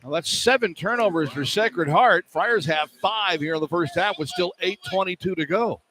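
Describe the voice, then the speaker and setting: A man's voice: high-pitched, moderate pace, sharp tone. A man calling live basketball play-by-play for radio in clipped, running phrases from an indoor arena. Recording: live arena broadcast with background noise. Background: faint arena noise.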